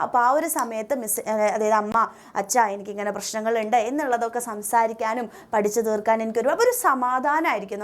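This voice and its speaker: High-pitched, animated Malayali woman teacher. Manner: directive, coaxing, with frequent check-in tags. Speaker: online school teacher. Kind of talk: instructional talk to pupils.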